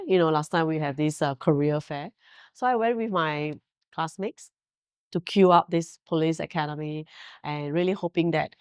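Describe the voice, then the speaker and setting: A woman's voice: low-pitched, a little mellow, no echo, studio quality. A Singaporean woman in her forties whose first language is Mandarin, telling her career story in a casual interview with frequent laughs and fillers. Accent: Singaporean English. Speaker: guest, hotel director.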